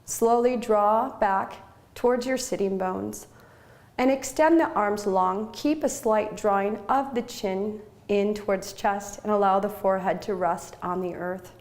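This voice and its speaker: A woman guides gentle yoga and deep relaxation at a very slow pace. Her pitch sits in an ordinary middle range for a woman. She gives each instruction in short, soothing phrases, leaving room between them. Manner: soothing, unhurried, short measured phrases with pauses.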